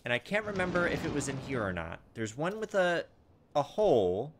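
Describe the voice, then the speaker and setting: A man giving a casual let's play commentary, thinking out loud, reading game text and tossing in exasperated asides. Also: fairly high pitch, sing-song, fairly quick, slight background noise. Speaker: gaming video host. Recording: background music behind voice. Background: video game music.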